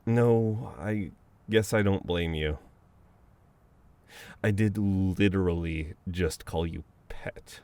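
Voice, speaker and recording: Low, mellow, flustered best-friend guy, acted by a man. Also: slow, long pauses, clear, resonant tone, dry recording. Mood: flustered, sheepish. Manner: conversational, exasperated, breaking into chuckles and sighs. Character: flustered, teasing male best friend with a dominant streak. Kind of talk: intimate ASMR roleplay audio acting.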